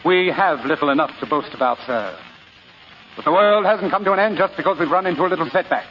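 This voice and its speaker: An actor playing a suave, pompous older crook in a 1940s radio drama, with florid, courtly phrasing. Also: male voice, fairly high pitch, animated, fast, faint music behind, lightly acted. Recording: dull old radio recording with faint hiss.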